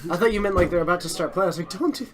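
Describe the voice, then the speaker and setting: A man's fairly high voice, strained as he slowly loses it, runs a live card game stream. He chats eagerly, hypes the match and laughs at his own slips. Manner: chatty, excitable, self-deprecating. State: losing his voice. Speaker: stream host and commentator.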